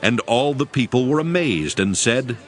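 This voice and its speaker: A man narrates scripture in a steady, reverent manner, his pitch moving expressively through each sentence. Music plays clearly beneath him. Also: normal speed.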